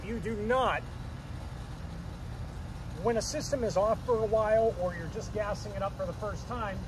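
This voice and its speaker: Hvac guy in his 30s walking you thru it outside, fairly high voice, keeps saying okay?, bit of street noise. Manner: explains step by step, keeps checking in with "okay?". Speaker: HVAC technician. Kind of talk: hands-on how-to explanation. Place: outdoors by an air conditioning unit in a yard. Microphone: camera mic, handheld or on a tripod.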